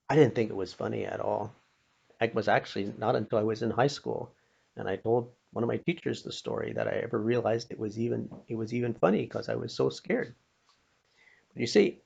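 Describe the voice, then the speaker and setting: An older North American man with a heavily creaky, gravelly voice tells a personal story with a moral. His delivery is plain-spoken, folksy and unhurried, with a somewhat husky edge. The recording sounds a bit dull and muffled. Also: on the low side, a little mellow. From Pennsylvania, United States.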